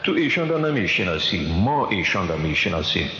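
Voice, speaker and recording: A man with an Afghan Dari accent reading a scripture translation in a formal, solemn, measured way. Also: deep voice, big pitch swings, sharp tone. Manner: solemn, measured, careful phrasing. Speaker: translation reader. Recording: long reverb, dull, faint noise.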